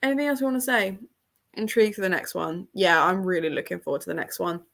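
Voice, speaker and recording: A woman's voice: on the low side, fast talker, vocal fry, a bit bright. A woman with an English accent hosting a casual book livestream, chatty and rambling with a sore throat, talking from a room at her parents' home. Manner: chatty and rambling, with frequent laughs. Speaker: book channel host.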